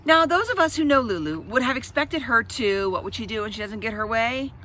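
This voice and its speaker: A woman reading a children's story aloud with expressive, dramatic emphasis and chatty asides to her listeners. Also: a little high, fairly quick, slight background noise.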